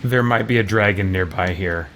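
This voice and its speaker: A man's voice: deep voice, moderate pace, a bit bright, slight background noise. A man giving casual video game commentary, musing aloud to himself as he plays. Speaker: video game streamer, host. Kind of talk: casual let's play commentary. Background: game music.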